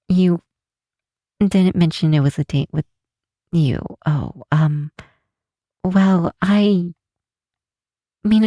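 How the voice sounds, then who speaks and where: A woman playing an affectionate, teasing vampire scientist in an intimate ASMR roleplay, speaking softly and unhurriedly to a close friend. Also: on the low side, no echo. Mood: flustered. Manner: soft, unhurried, teasing, sometimes breathy near-whisper. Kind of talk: intimate ASMR roleplay, one side of a call.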